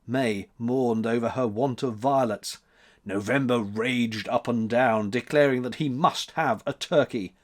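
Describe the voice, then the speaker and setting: A man reading a story aloud as a storyteller, in expressive scripted narration. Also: mid-range voice, measured pace, slight background noise.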